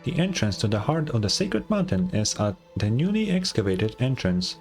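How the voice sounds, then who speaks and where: A man voicing a huge ancient dragon robot record-keeper from a game, formal, measured and helpful. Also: mid-range voice, very animated, faint music behind. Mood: polite, matter-of-fact. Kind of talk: reading game dialogue aloud.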